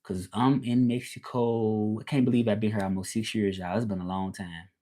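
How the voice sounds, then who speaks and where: A man's voice: lowish voice, level tone, moderate pace, warm tone. A man in his thirties with a Southern US accent, hosting a casual livestream and rambling as he repeats himself and answers viewers. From Southern United States. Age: 30s. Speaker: livestream host.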